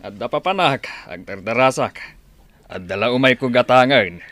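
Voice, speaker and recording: An actor with an Ilocano accent playing an easygoing drinking buddy in a radio drama, talking in a hesitant, drawn-out way. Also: male voice, medium pitch, animated, a bit bright, dry recording. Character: easygoing male drinking buddy of a village drunkard.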